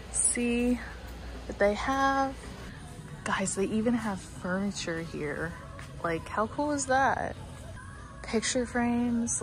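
A woman's voice: mid-range voice, low-quality recording. Chatty young woman vlogging over music. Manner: chatty, upbeat, lots of "like" and "um". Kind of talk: casual vlog chat to camera. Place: hotel room, cafe and thrift store. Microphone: handheld camera mic.

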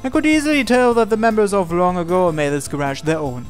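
A man's voice: high-pitched, quick pace. A man reading visual novel narration and dialogue aloud as a gaming video host. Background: game music.

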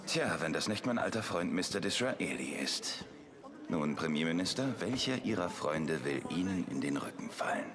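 An actor playing a cocky, street-wise young brawler and bodyguard in a video game. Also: male voice, low-pitched, very animated, normal speed, a crowd in the background. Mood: wry, confident.

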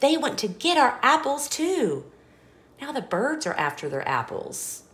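A woman reads a children's storybook aloud in a high, very lively voice. She goes slowly, leaning into key words with expressive emphasis. Between lines of the story she speaks to the young listener in asides. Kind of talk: animated picture book read-aloud for young children.

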